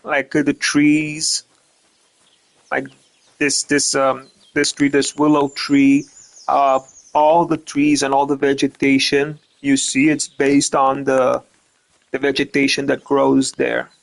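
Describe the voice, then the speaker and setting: Man, flat delivery, rambling gameplay commentary, stumbling restarts, casual swearing. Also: mid-range voice, slow pace, gravelly, rough recording. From Romania. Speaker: gaming streamer.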